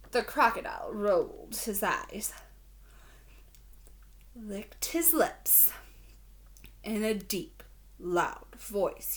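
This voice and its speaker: A woman teacher reading a children's story aloud, expressive and deliberate, prompting young listeners with questions. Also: mid-range voice.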